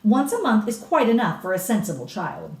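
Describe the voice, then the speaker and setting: A woman's voice: mid-range voice, very animated, fairly quick, pitched above the performer's own voice. A woman voicing a blunt, authoritative elderly grandmother from a storybook, explaining with emphatic relish and a snappish edge. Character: cigar-smoking old grandmother, authoritative, blunt, relishing grisly witch lore. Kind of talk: storybook read-aloud character. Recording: roomy echo, distant microphone.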